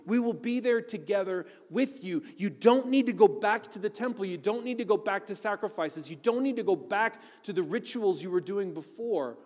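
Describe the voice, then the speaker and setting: Man preaching, high voice, earnest teaching tone, long echo of a big hall. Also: a little mellow. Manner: earnest, explanatory, building to emphatic appeals. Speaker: pastor. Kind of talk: sermon teaching on Hebrews.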